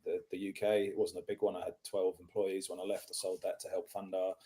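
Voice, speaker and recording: A man's voice: deep voice, little pitch movement, fast talker, mellow. A man in his fifties from England, with a mixed English and New Zealand accent, talking as a podcast guest about his career in tech sales, with many ums and restarts. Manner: self-deprecating, many ums and restarts, clears throat often. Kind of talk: casual interview talk.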